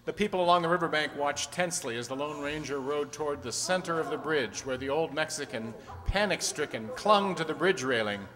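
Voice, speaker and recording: A man's voice: a little high, brisk, sharp tone. A man acting as the solemn, dramatic narrator of an old-time radio western, reading scripted storytelling. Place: stage in a community hall. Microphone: stand microphone. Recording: echoey hall stage.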